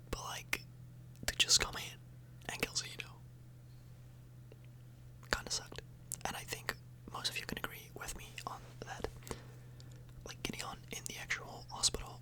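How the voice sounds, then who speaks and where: Whispering woman, casual ASMR ramble. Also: rough recording. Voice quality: breathy unvoiced whisper. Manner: casual, meandering, frequent tag questions. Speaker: ASMR video creator.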